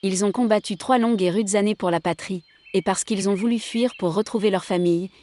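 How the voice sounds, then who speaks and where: A woman's voice: medium pitch, a little fast, mellow, slight background noise, natural voice. A woman playing an observant long-time captive and confidante in an audio drama. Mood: solemn reading.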